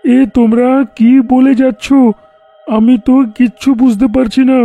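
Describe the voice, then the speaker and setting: A frightened, lamenting captive man from a cartoon ghost story, voiced by an actor. His voice is extremely high for a man yet soft-edged, and music plays behind him. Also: little pitch movement, a little slow, full character voice. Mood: panicked, despairing. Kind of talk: melodramatic cartoon voice acting.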